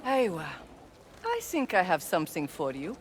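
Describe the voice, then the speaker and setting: A woman's voice: lowish voice, wildly swooping pitch, normal speed. A woman playing a haughty, theatrical, wily trader in a video game, with some ambient noise behind her. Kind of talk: video game character acting.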